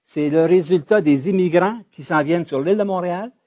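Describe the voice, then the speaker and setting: A man from Ontario, moderating an academic discussion in an emphatic, animated way. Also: sits high, brisk, no room sound, studio quality. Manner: emphatic, animated, rising loud on key points.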